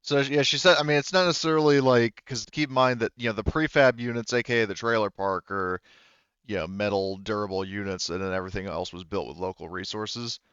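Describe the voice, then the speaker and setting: Male game master, casual and explanatory, low clean voice, close dry sound with dulled top end. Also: brisk, fairly bright tone, smooth, no echo, muffled recording. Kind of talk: casual tabletop game narration and rules talk.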